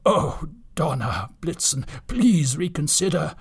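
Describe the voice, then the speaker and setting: A man's voice: on the high side, very animated, slow pace, gravelly, husky, pitched above the performer's own voice, exaggerated voice. A man voicing a mild, patient Father Christmas in a storybook, polite and placating in manner. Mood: pleading.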